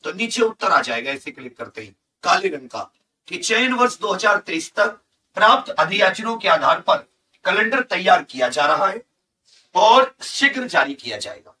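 A man's voice: high voice, big pitch swings, normal speed, sharp tone, recorded from a distance, poor audio. A man, teaching emphatically and repeating key figures and points for his students. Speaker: online coaching teacher. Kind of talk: instructional lesson explaining job vacancy notices. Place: indoor teaching studio with large digital board.

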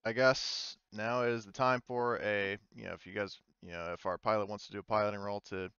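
Low-voiced man running a tabletop game. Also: steady tone, a little fast, bright, forceful tone, smooth, no room sound, muffled recording. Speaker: game master. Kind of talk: narrating and running an online tabletop roleplaying game.

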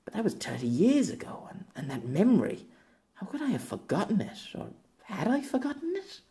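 A man voicing a confused, grumbling middle-aged novelist in an audiobook, halting and repeating his words. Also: high-pitched, wildly swooping pitch, mellow, voice pushed up, full character voice. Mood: troubled recollection.

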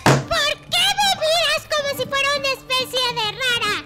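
A bratty grown woman stuck as a little girl, with a piercing, squeaky voice. She snaps and rants, sarcastic and foul-mouthed. Music plays loudly under the comic dub. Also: moderate pace. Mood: sulky. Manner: snarky, ranting, shouts in bursts. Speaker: fandub voice actor. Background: background music.

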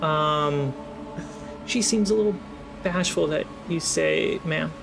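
Higher-voiced man running a tabletop game. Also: slow, gravelly, music in the background. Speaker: game master. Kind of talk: casual tabletop game narration. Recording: faint background noise.

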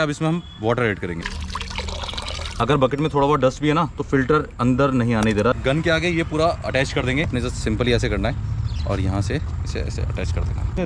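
A young man talks quickly and eagerly, walking the viewer through each step as he handles his equipment. He is recorded outdoors beside a car on a camera mic. Steady outdoor noise and spraying water fill the background. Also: mid-range voice. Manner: eager, explains step by step while handling gear. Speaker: product reviewer. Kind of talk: casual how-to demonstration.

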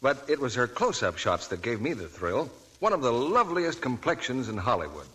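Animated, husky 1940s radio ad man. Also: mid-range voice, a little fast, low-quality recording. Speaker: radio announcer in a soap advertisement.

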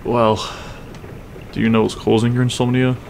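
A man playing a grumpy but secretly caring half-dragon roommate in an audio roleplay, gruff, weary and blunt. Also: mid-range voice, level tone, normal speed, noisy background, lightly acted. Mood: softening, caring. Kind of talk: audio roleplay acting, spoken to the listener.

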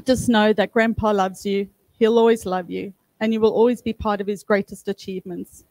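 A woman's voice: mid-range voice, steady tone, normal speed, darkish tone, no echo. A woman speaking with emotion at a memorial service, tender and sighing at times, using a handheld mic in an indoor function room. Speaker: granddaughter giving a eulogy. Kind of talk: memorial eulogy. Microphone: handheld microphone.

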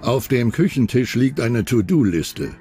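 A male documentary narrator reads a scripted crime story with suspense. His mid-pitched voice moves with animated intonation, posing questions dramatically. Music plays clearly behind him. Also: a little slow. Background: background music.

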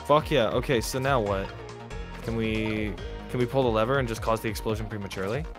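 Low-voiced man streaming a game, music behind. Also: fairly quick. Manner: casual, profane. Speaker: live streamer. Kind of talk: gaming stream chat and line reading.

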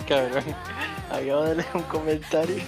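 A man's voice: a little high, fairly even intonation, moderate pace, over music. A man from Madrid teasing and joking with a streamer in casual banter.

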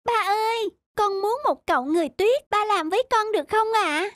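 A woman's voice: extremely high pitch, lively intonation, normal speed, no room sound. A woman voicing a lonely, wistful little girl with childlike pleading in a cartoon fairy tale. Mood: eager, pleading.

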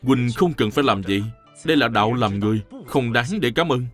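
A man's voice: mid-range voice, animated, fairly quick, soft background music, lightly acted. An actor playing a humble, upright young male scholar in a historical cartoon, formal, polite and earnest. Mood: modest. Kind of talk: animated historical cartoon dubbing.

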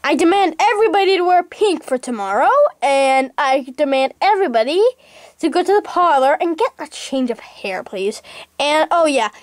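A woman's voice: sits high, lively intonation, moderate pace, no echo, clean studio sound. A woman playing a vain, self-important man who makes pompous, rambling demands in an amateur comic skit. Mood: bossy, self-satisfied.

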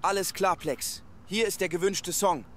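A man's voice: high-pitched, steady tone, normal speed, over music, natural voice. A man playing a chummy, eager artificial intelligence assistant in an audio drama, obliging and self-assured. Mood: eager, confident.

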